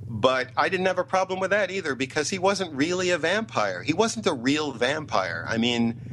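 A man's voice: fairly high pitch, sing-song, normal speed, a bit bright, a little hiss or hum. A middle-aged man speaking as an interview guest, opinionated and emphatic, repeating phrases to drive points home. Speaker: interview guest, TV writer and producer. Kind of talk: interview talk.

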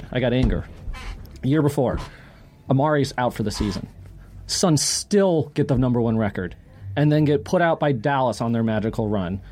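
A man's voice: fairly high pitch, darkish tone, a little hiss or hum. A man co-hosting a sports podcast in casual chat, talking emphatically and repeating phrases for dramatic effect.

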